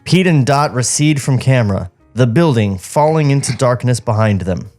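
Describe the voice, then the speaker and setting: A man's voice: medium pitch, animated, moderate pace, darkish tone, soft background music. A man co-hosting a podcast, reading stage directions aloud in a table read with joking asides.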